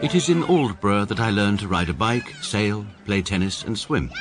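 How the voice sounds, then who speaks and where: A man's voice: on the low side, lively intonation, loud music behind the voice, lightly acted. An actor with an English accent playing a precise, pompous barrister who narrates his own story in a radio drama. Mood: dry. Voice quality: measured, dry, pedantic. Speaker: narrator, adult lead character.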